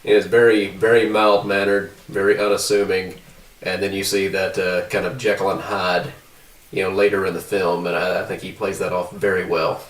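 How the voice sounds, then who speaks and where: A man with a lowish voice discusses film casually as a podcast co-host. He sits back from the microphone in an echoey room. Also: flat delivery, normal speed, fairly bright tone, distant mic.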